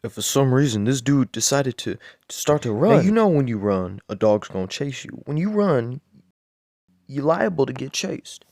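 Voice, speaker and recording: A man improvising a crude bedtime story in a deadpan, rambling way, with lots of swearing and repeated words. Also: medium pitch, normal speed, gravelly, no room sound, clean studio sound.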